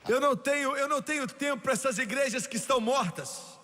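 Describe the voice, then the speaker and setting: A man preaching a fervent revivalist sermon, shouting exultantly with emphatic repetition. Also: very high voice, fast. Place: large reverberant hall with congregation. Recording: echoey hall, noisy crowd behind.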